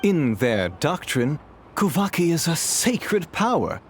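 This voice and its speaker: A scheming, well-mannered game villain of a businessman. His delivery swings widely in pitch and drips with smug courtesy. Faint ambient noise sits under the voice. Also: very animated, takes it slowly. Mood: calculating. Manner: smooth, mocking politeness. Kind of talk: video game voice acting.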